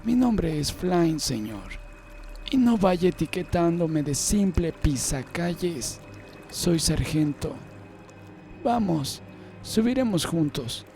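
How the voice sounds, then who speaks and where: Guy doing a high voiced bully irish cop sergeant for an audiobook, sneery and condescending, music under it. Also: big pitch swings, slow, darkish tone, heavily acted. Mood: mock polite. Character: tall Irish police sergeant, menacing, sneering and mocking. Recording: music behind the voice.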